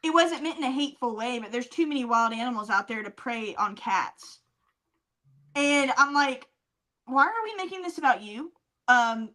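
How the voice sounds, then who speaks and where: High, bright-voiced sweary woman podcaster. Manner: sweary, emphatic, repeats phrases for effect. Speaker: podcast co-host. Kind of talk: casual comedic chat, storytelling.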